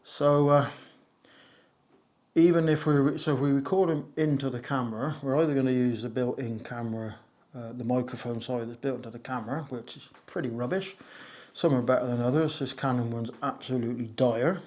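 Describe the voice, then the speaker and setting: Slightly hoarse man, rambling casually. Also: medium pitch, fairly even intonation, brisk, a little mellow. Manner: rambling, many "uh" and "so" fillers, self-mocking jokes. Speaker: video creator explaining audio gear. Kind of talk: casual talk to camera. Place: conservatory used as a home studio, a little echoey. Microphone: wireless lapel mic.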